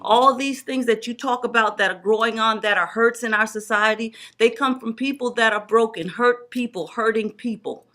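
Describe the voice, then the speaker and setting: A woman's voice: fairly high pitch, normal speed, hardly any pauses, pushed and bright. A woman in her forties giving personal testimony as an evangelist, with emphatic, repeated religious phrasing. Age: 40s. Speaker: guest evangelist and student.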